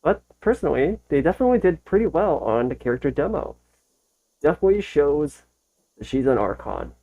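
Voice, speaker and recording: A reaction video host giving casual commentary in short, halting phrases, thinking aloud. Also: mid-range voice, very animated, phone-quality sound.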